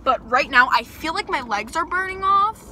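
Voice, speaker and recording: Talking in her car, a young woman with a high, bright voice rambles to a dashboard camera, chatty and exasperated. Her talk swings from loud outbursts to soft mutters. Steady road and engine noise from the car hums clearly behind her. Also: moderate pace. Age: young adult. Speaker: vlogger. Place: inside a parked and moving car, daytime.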